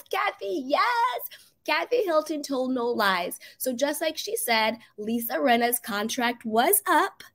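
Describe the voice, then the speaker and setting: A woman whose voice is raspy from being sick, giving sassy, emphatic celebrity gossip commentary on her channel. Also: sits high. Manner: sassy, emphatic, drawn-out stresses. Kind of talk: casual gossip commentary to camera.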